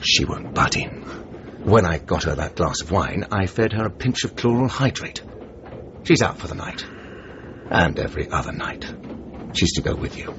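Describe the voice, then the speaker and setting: An actor playing a smug Soviet assassin who poses as a chummy English gentleman, taunting in clipped, mock-genteel slang in a radio drama. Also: sits low, animated, moderate pace. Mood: coolly menacing. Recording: noisy, muffled radio broadcast recording.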